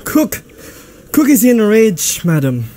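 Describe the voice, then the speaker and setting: A man's voice: sits high, big pitch swings, a little slow, dark tone, slight background noise. A man playing a nervous, deferential household servant in a radio drama, stammering and repeating words. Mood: panicked.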